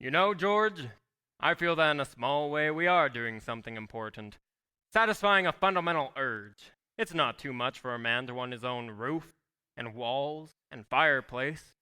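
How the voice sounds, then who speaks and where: A man acts a weary, kindly older father in a vintage radio drama. The delivery is measured and reflective, with a crisp, resonant tone and a bright edge, taped live on stage. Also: medium pitch, animated. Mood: earnest, resigned. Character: tired, gentle, idealistic small-town father. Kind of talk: old-time radio play acting on stage.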